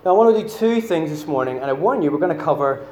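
Northern Irish preacher in a roomy church. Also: male voice, a little high, expressive, quick pace, a little mellow, a little hiss or hum. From Northern Ireland. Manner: chatty and self-deprecating, many fillers. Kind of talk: sermon. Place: church hall.

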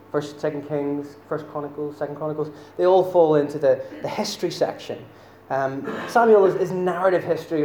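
A man with a Northern Irish accent preaches in a loose, chatty way, full of ums and self-deprecating asides. His voice sits slightly higher than most men's. He is heard in a roomy church with a faint hum of ambience behind him. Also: warm tone. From Northern Ireland. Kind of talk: sermon. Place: church hall.

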